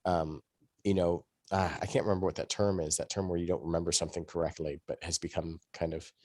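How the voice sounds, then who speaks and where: A man's voice: low-pitched, little pitch movement, quick pace, a little mellow, no room sound, clean studio sound. A man speaking as a podcast panelist, explaining things in a rambling, conversational way. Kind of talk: conversational discussion.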